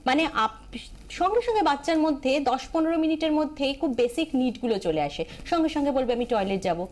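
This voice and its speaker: A woman's voice: high-pitched, expressive, a little fast. A woman with an Indian Bengali accent giving explanatory advice as a TV expert guest, emphatic, repeating key phrases and dropping in English terms.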